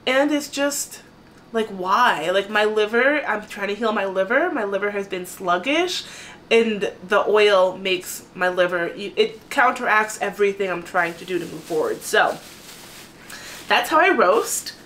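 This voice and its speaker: A woman in her thirties talking casually to camera in a small home kitchen, chatty and animated. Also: mid-range voice, a little slow, a little hiss or hum. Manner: chatty, animated, gestures a lot while talking. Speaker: vlogger. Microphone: camera at a distance.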